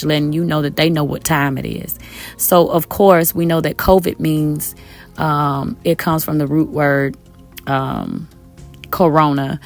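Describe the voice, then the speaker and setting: A woman with a raspy, slightly hoarse voice, teaching scripture to her viewers from home in a casual way, calling them y'all with many ums. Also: deep voice. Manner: talks directly to viewers as y'all, with many um fillers. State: a little hoarse. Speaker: online Bible teacher. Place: at home with her children around.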